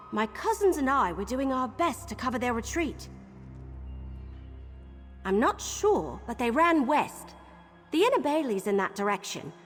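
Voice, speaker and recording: A woman's voice: high-pitched, fairly bright tone, heavily acted. An actress playing a plucky young female scout with quirky exclamations in a video game. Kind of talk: video game quest dialogue. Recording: long reverb, music underneath.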